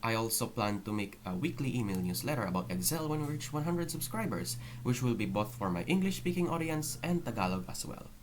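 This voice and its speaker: A man's voice: mid-range voice, big pitch swings, fairly quick, hardly any pauses, a little hiss or hum. A young man with a Filipino accent giving a candid, apologetic personal update to viewers, recorded straight on an unprocessed microphone. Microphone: raw microphone with no processing.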